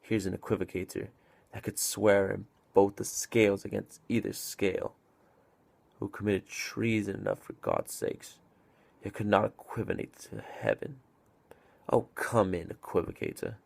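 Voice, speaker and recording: Man acting a grumbling, theatrical low-voiced porter. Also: expressive, measured pace, gravelly, pauses often, exaggerated voice. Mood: mocking, irritated. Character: drunken grumbling male gatekeeper porter, mockingly welcoming sinners. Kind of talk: Shakespearean stage monologue.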